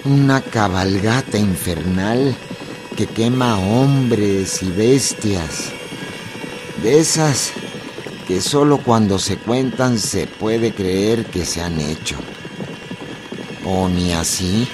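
A man delivers deliberate, theatrical scripted narration for a radio drama. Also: lowish voice, background noise. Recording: prominent music and effects behind.

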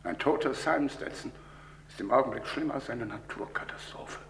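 A man playing a wary, shrewd, wealthy English gentleman in an old German radio crime drama, with deliberate, dramatic delivery. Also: mid-range voice, vocal fry, slight background noise. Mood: indignant, dismissive. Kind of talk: German radio crime drama acting, mid-20th century.

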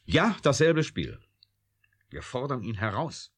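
Verbitterter Wissenschaftler, rau und eindringlich. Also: male voice, mid-range voice, big pitch swings, slow, breathy edge, exaggerated voice. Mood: grave, insistent. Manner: sardonic, insistent, intense. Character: embittered, urgent, defiant male computer scientist. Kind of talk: German radio drama acting.

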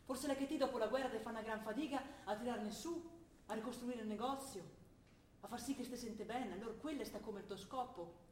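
A woman's voice: on the high side, darkish tone, close to an everyday voice. A woman playing a fervent young revolutionary. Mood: earnest. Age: young adult. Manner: earnest, quick, rising to fervent argument. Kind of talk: stage play acting. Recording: roomy stage recording with faint noise.

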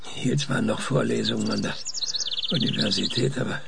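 Old retired prof in a radio play, super creaky voice, slow n halting, music behind. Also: male voice, on the low side. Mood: hesitant. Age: older. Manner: halting, hesitant, searching for words. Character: hesitant retired professor, ex-space-programme man, being interviewed. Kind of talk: radio drama acting, recollection.

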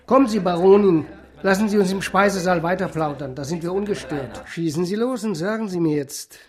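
An actor playing a shrewd, miserly, powerful financier in a German radio drama, brisk and drily courteous as he haggles. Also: sits high, natural voice. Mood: miserly, indignant.

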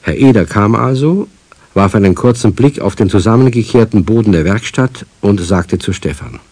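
A man as the genial narrator of a children's radio play, telling the tale in an expressive storytelling manner. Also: lowish voice, normal speed, no echo. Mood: wry storytelling.

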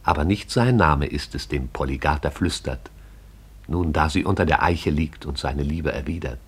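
A man narrating a radio play in a measured, poetic manner. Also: very deep voice, breathy edge.

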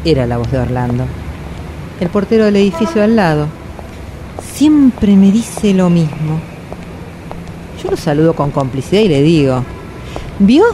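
Actress playing anguished woman, low voice, Buenos Aires accent, introspective radio monologue, halting repetitions, clearly noisy background. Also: sing-song. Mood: rueful, musing. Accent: Buenos Aires Argentine. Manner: hesitant, repeating phrases, asking herself questions. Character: anguished, self-questioning woman, always rushing, confessing. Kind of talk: radio drama monologue, introspective first-person storytelling.

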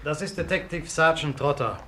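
An actor playing a courteous, matter-of-fact young guesthouse owner in a 1950s radio play. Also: male voice, a little high, moderate pace, fairly bright tone, natural voice. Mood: polite. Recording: echoey, noisy old radio studio recording.

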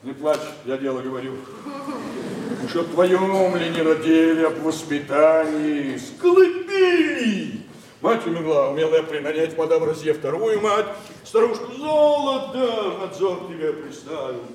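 A man's voice: fairly high pitch, big pitch swings, measured pace, darkish tone, a little hiss or hum. An actor playing a pompous, grumbling middle-aged nobleman father in classical verse drama, declaiming in a blustering way. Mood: blustering, aggrieved. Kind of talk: classical verse stage acting. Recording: very echoey, distant, music behind.

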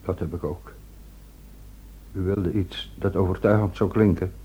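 A subdued police subordinate, speaks in a deep, dark voice. He sounds sombre and deferential in this radio drama, with faint noise on the recording. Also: fairly even intonation, unhurried, highs cut off. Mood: shaken, grieving.

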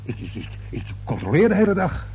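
A man's voice: fairly high pitch, wildly swooping pitch, a little fast, gravelly, darkish tone, slightly rough, muffled recording, heavily acted. An actor playing a flustered male building caretaker in a radio play, stammering and defensive, with colloquial speech. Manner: stammering, hesitant, repeats words. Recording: old radio play recording with faint hum.

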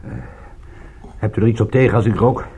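An actor playing a weary, suspicious private detective in a radio drama, narrating and talking in a dry, wry, understated manner. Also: male voice, fairly low pitch, brisk, warm tone, breathy edge. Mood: guarded, probing. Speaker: detective and first-person narrator.

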